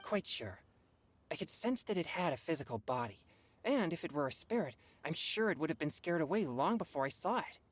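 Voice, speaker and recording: Husky, earnest teenage boy exorcist. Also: low voice, big pitch swings, brisk. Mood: earnest, serious. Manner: serious, earnest, formal. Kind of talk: anime game voice acting.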